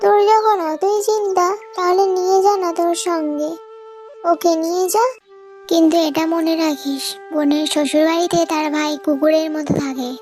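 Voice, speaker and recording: A woman voicing an arrogant, greedy middle-aged stepmother in a cartoon, scolding loudly and sneering. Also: extremely high pitch, steady tone, moderate pace, soft background music. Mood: threatening. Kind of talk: cartoon moral-story voice acting.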